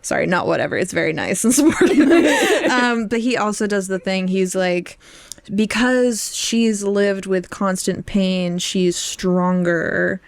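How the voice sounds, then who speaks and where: A woman's voice: medium pitch, expressive, unhurried, vocal fry, no room sound, studio quality. A young woman co-hosting a casual book podcast, playfully acting out characters' lines as she recaps. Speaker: podcast co-host.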